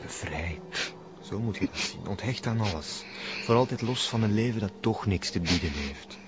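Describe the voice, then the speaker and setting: A man's voice: on the low side, lively intonation, normal speed, background noise, close to an everyday voice. An actor playing a devout male cult follower in a television drama, speaking in a consoling, preachy way. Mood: soothing, devout. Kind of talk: television crime drama acting. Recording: muffled lo-fi sound.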